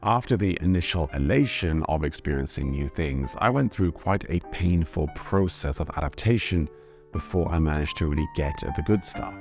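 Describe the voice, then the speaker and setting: A young Englishman with an English accent, telling his own story in measured, reflective scripted narration. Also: a bass voice, over music. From Britain. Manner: thoughtful, measured storytelling, switching between English and German. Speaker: vlogger.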